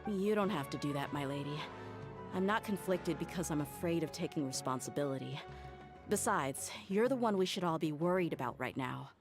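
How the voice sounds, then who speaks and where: A woman voicing an earnest, loyal young female servant who speaks in a measured way, with soft game music behind. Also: low-pitched, animated.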